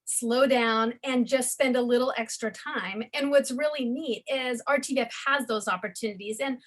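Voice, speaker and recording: A woman's voice: fairly high pitch, moderate pace, a bit bright. A woman hosting an interview about a college media program, speaking in an enthusiastic, promotional way. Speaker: program host.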